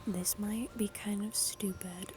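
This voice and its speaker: A young woman whispering her way through soft-spoken gaming chat. She rambles, with frequent ums, and her voice is a little raspy from a dry throat. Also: medium pitch, fairly even intonation, takes it slowly. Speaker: gaming YouTuber.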